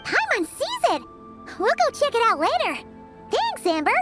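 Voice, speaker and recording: A woman voicing a tiny, cheerful, chatty fairy companion in a video game, with a squeaky childlike voice. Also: wildly swooping pitch, moderate pace, a bit bright, heavily acted. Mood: eager. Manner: bubbly, emphatic. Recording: music behind the voice.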